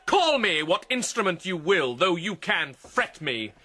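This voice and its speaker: An actor playing a brooding, volatile young prince feigning madness in a theatrical Shakespearean radio drama, with a husky, gravelly voice and intense delivery. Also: male voice, high-pitched, big pitch swings, bright, forceful tone, heavily acted. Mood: furious. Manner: slow, intense, declamatory.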